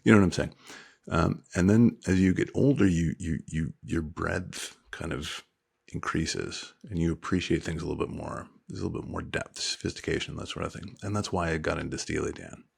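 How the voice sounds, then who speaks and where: Deep gravelly man explaining at length. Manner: measured, explanatory, reflective. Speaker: podcast co-host. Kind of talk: casual chat.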